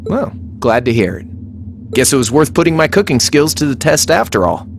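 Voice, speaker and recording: A man's voice: mid-range voice, sing-song, normal speed, vocal fry, a little hiss or hum. An actor playing a cocky, laid-back young male rebel in a video game, teasing and flippant in a casual way. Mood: easygoing. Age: young adult.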